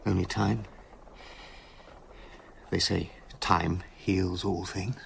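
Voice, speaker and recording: Brooding, tormented gentleman doctor, low-voiced. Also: level tone, very slow, vocal fry, slight background noise, full character voice. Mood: drained. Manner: formal, confessional, intense. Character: tormented, self-justifying male doctor, brooding and confessional. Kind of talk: radio drama acting.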